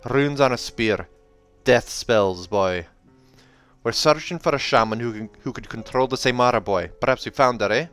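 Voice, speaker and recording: A man voicing a gruff, suspicious older dwarf in a storybook reading, with a grave, blunt manner. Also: medium pitch, normal speed, a bit bright, soft background music, natural voice.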